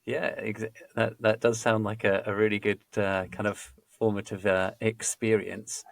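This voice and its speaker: A man hosting a podcast interview, speaking haltingly with many restarts. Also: lowish voice, flat delivery, vocal fry, dry recording. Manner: hesitant, many restarts and fillers. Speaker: podcast host, recruiter.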